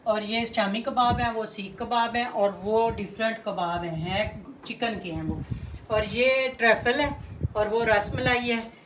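A middle-aged woman hosts a casual home vlog in a fairly high voice. She is recorded on a phone in an echoey room, with dishes and cutlery clinking in some noise behind her. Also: steady tone, unhurried. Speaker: cooking channel host, mother. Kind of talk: casual family vlog chat. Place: home kitchen and dining room. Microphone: handheld phone at some distance.

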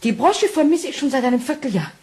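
An actress playing an anxious, trusting Victorian wife in a German radio crime drama, earnest and refined. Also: female voice, sits high, very animated, fast, darkish tone.

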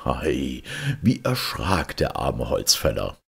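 A man reading a fairy tale as a storyteller, in a dramatic, expressive and deliberate manner. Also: extremely low pitch, creaky, slightly rough.

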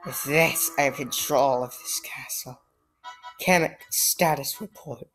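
A gruff, scheming turtle king from a video game growls out his orders low and slow, as a woman puts him on. The delivery is unhurried and drawn out, with a measured, mid-height voice underneath. The game's music plays faintly in the background. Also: poor audio. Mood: menacing. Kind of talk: reading video game dialogue aloud.

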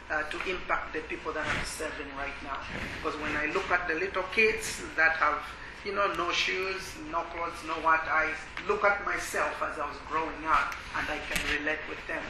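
Halting Malawian man in an echoey hall. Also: a little high. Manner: hesitant, many filler sounds. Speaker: ministry worker. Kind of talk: workshop testimony. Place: conference workshop room. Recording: echoey room with background noise.

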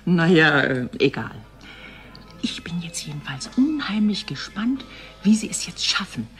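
Spöttische Frau mit tiefer Stimme. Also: female voice, lowish voice, big pitch swings, unhurried, soft background music. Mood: wry, gossipy. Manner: wry, gossipy, chatty. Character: teasing, sceptical female TV company employee. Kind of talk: naturalistic German radio play dialogue.

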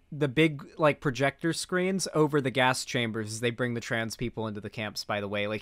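A young man giving off-the-cuff political reaction commentary as a streamer, halting and repeating himself, sardonic and sweary. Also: mid-range voice, a bit bright, dry recording, studio quality. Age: young adult. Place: indoor streaming room.

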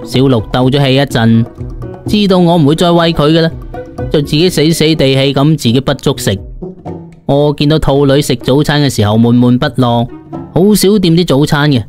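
A man's voice: fairly high pitch, moderate pace, darkish tone, soft background music. A man with a Cantonese accent narrating a first-person audiobook in a colloquial, dramatised storytelling style.

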